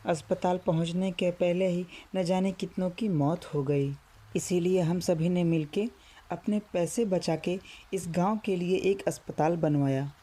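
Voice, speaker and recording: A woman's voice: fairly low pitch, steady tone, unhurried, mellow. A woman voicing an earnest, worried villager in a cartoon story. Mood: sad, worried. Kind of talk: Hindi cartoon story dialogue.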